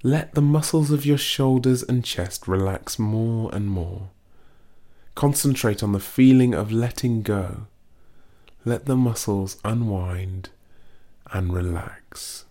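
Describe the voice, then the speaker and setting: A man leads a guided muscle relaxation exercise, his voice sitting on the low side. He speaks very slowly and calmly, gently instructing the listener step by step. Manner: slow, soothing, gently instructive.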